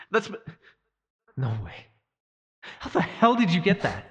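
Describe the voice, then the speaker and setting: A man's voice: high-pitched, huge pitch swings, slow pace, fairly bright tone, muffled recording, exaggerated voice. A man playing a defiant, impatient young god in an audio drama, curt and incredulous. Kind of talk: roleplay audio drama acting.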